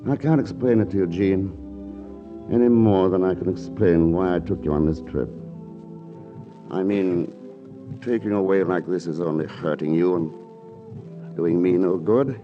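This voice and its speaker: An actor playing a suave, wistful, teasing painter in a 1940s radio romantic comedy, with a wry, hesitant delivery. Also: male voice, deep voice, unhurried, pauses often. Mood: tender, regretful. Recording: dull vintage radio recording, music behind.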